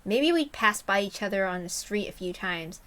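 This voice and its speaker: A woman's voice: medium pitch, expressive, moderate pace, fairly bright tone. A woman reading a story aloud expressively, breaking into casual, self-interrupting asides. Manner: self-interrupting, openly flustered over mistakes.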